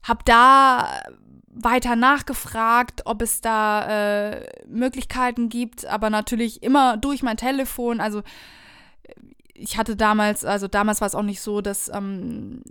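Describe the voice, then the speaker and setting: A woman telling viewers a personal travel story in a casual, animated, conversational way. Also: on the high side, measured pace, clear, resonant tone, dry recording, clean studio sound.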